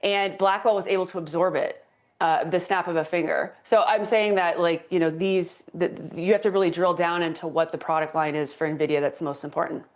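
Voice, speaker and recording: A woman's voice: lowish voice, fairly even intonation, a little fast. A woman analyst answering as a guest in a financial TV interview, emphatic and returning to her key points. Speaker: tech stock analyst guest.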